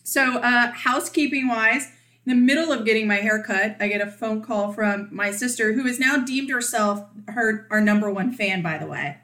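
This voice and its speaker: A woman co-hosting a podcast in casual chat, talkative and quick to laugh, often acting out what others said. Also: fairly high pitch, sharp tone, reverberant. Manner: chatty, laughs often, acts out quoted voices. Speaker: podcast co-host.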